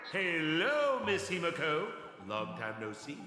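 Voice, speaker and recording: A man's voice: on the high side, huge pitch swings, unhurried. A man voicing a flamboyant, smarmy, wheedling rogue merchant in a video game, effusive and theatrical. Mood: smooth, gossipy. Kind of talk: video game character acting. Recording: echoey hall reverb, music behind. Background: music.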